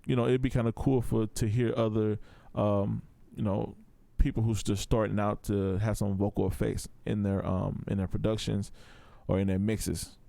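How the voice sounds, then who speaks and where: A man's voice: lowish voice, level tone, normal speed, gravelly, warm tone, no echo. A man giving a casual, step-by-step tutorial in a laid-back, informal way. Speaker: audio production tutor.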